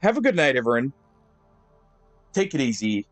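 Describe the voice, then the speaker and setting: A man streaming a game live, thinking aloud with pauses and fillers and chatting to his viewers. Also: mid-range voice, big pitch swings, faint music behind. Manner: friendly sign-off to viewers. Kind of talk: live gameplay commentary.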